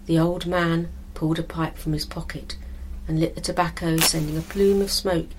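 Woman narrator reading a story, lowish voice, measured but expressive, little bit of noise behind. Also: rough recording.

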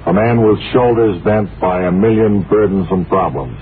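A man playing a deadpan radio sportscaster. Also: on the low side, lightly acted. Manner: measured, deadpan announcer delivery. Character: smooth, overly earnest male sportscaster. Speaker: radio host. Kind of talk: 1950s radio comedy acting. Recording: tinny, faintly hissy 1950s radio broadcast.

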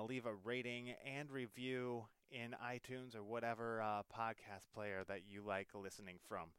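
Casual podcast host, young man, smooth voice, relaxed chatty delivery. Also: mid-range voice, dry recording, clean studio sound. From Iowa, US Midwest. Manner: easygoing, laid-back banter with filler words. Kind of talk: casual podcast interview chat.

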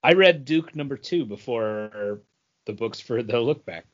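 Mid-pitched man, halting, on muffled audio. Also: expressive. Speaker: podcast co-host. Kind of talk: casual comic book preview. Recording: dull, lo-fi podcast audio.

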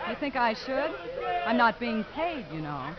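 A woman's voice: a little high, sing-song, a little slow. An actress playing a composed, dryly skeptical woman in a 1950s radio drama. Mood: dry, questioning. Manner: dry, composed. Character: composed, dryly skeptical grown woman narrating her story. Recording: muffled, noisy 1950s radio broadcast.

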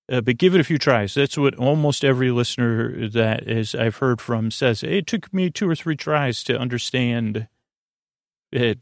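Creaky-voiced man, rambling bedtime podcast host. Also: mid-range voice, no room sound, studio quality. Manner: meandering, halting, stammering, trails off into tangents.

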